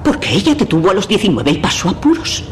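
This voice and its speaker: An actress from Spain playing a prim, reproachful 1950s housewife in a dubbed TV drama, speaking formally and moralising. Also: female voice, mid-range voice, wildly swooping pitch, quick pace, faint music behind. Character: prim, impeccable 1950s housewife, a mother's alter personality, reproachful. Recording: noisy clip from a TV show.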